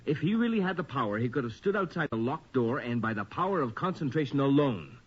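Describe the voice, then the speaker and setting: An actor playing an earnest, uneasy investigator who explains the supernatural in a grave manner in a radio drama. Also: male voice, medium pitch, sing-song, quick pace, husky. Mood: insistent. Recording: muffled old radio recording.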